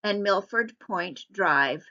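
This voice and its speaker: A woman giving scripted narration for a public hearing slideshow in an even, measured reading. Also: mid-range voice, muffled recording.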